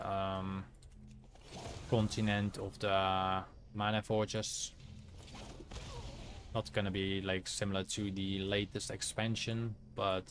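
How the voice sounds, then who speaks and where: A Dutch man with a low-pitched voice streams his gameplay. He comments casually in Dutch-accented English as he goes, with faint game noise behind him. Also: fairly bright tone. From Netherlands.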